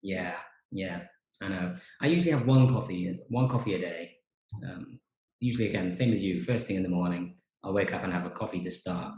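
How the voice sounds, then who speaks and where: A man's voice: sits low, brisk. Male host for a casual video-call chat, easygoing, with echo in the room. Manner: relaxed, chatty, frequent fillers. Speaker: podcast host, teacher trainer. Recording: roomy echo.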